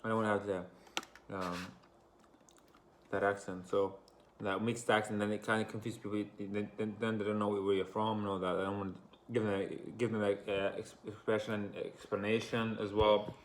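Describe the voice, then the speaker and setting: A man's voice: fairly low pitch, level tone, moderate pace, slight background noise. A man from Azerbaijan with a mixed British and American accent, ranting casually and repetitively to camera in slangy English. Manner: chatty, repetitive, slangy, frequent "you know what I'm saying". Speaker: vlogger.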